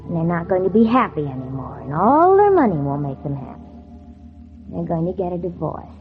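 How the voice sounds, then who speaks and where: A woman's voice: on the low side, very animated, brisk, soft background music. An actress playing a spiteful, scheming teenage girl in a 1940s radio drama, by turns falsely innocent and coldly bitter. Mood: gloating. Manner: feigned sweet innocence turning coldly bitter. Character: scheming, spiteful teenage girl narrating her own revenge. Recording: muffled, tinny old 1940s radio recording.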